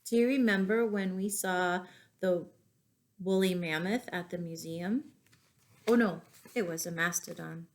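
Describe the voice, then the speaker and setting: A woman reading stories aloud to young children, expressive, pausing to ask them questions. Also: lowish voice. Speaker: children's storytime reader and teacher.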